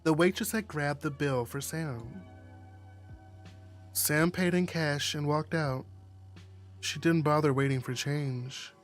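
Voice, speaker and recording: A streamer reading a visual novel aloud, with expressive narration and sassy, slangy asides. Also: mid-range voice, slow pace, long pauses, soft background music.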